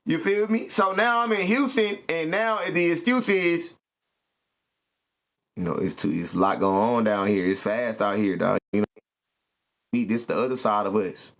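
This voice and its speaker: A man telling animated, slangy stories to his listeners. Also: mid-range voice. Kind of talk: animated comic storytelling. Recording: muffled lo-fi recording.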